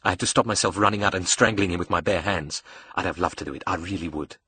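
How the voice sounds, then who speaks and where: A man acts out a young male audiobook villain with a South African accent, bitter and cocky. His delivery is brash and sneering, with a forceful, husky edge and a cruel streak. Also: deep voice, quick pace, full character voice. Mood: seething. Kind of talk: audiobook character dialogue.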